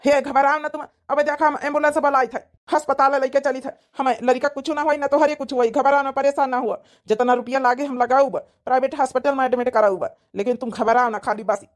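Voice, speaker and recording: A man's voice: extremely high pitch, fairly even intonation, fast, hardly any pauses. A man voicing a worried, rambling village husband in a cartoon comedy, with an Awadhi accent. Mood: reassuring, hurried. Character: worried eldest son, a flustered village husband.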